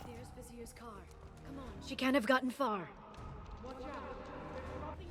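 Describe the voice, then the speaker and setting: An actress playing an earnest, determined alien woman in a video game, with an expressive delivery. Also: high-pitched, slow, over loud music. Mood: focused. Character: earnest, determined alien woman, anxious car-chase passenger.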